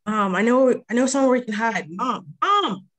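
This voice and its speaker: A woman's voice: on the high side, expressive, normal speed, a bit bright. A woman playing a frightened, pleading young colonist in a screenplay table read. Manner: stammering, pleading.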